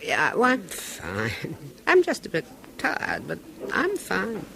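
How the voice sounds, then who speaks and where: A poised, evasive wife. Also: female voice, on the low side, huge pitch swings, slow, creaky, fairly bright tone, exaggerated voice. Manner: hesitant, faltering speech. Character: poised woman hiding worry, evasive. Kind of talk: 1970s radio drama acting.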